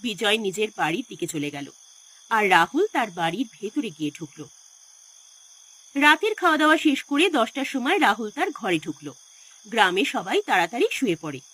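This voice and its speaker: A woman's voice: mid-range voice, very animated, moderate pace, fairly bright tone, slightly rough. A woman narrating a scripted horror story in a dramatic storytelling style. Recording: music behind the voice.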